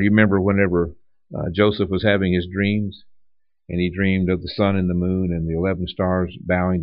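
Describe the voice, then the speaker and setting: Male preacher, deep voice, plainspoken teaching with restarts, dry lo-fi sound. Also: no room sound. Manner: conversational teaching with frequent restarts. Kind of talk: sermon. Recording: dull lo-fi dry recording.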